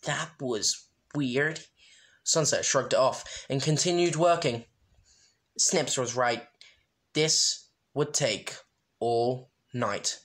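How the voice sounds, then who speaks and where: An amateur storyteller with a mid-range voice and slow pace. Narration is broken by informal, self-deprecating comments. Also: medium pitch. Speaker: amateur fan fiction reader. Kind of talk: story narration with casual asides.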